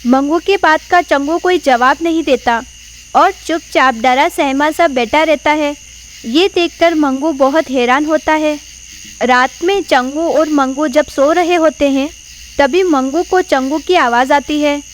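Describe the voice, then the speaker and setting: A woman giving scripted narration for an animated horror story. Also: high-pitched, unhurried, slight background noise.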